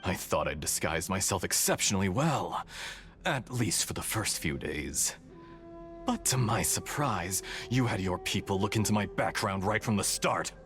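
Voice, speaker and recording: An actor playing a suave, mocking villain in a video game, theatrically polite, smug and sly. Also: male voice, low-pitched, expressive, moderate pace, fairly bright tone. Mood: smug, taunting. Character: suave, mocking male villain posing as mechanic. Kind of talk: dramatic video game voice acting. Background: background music.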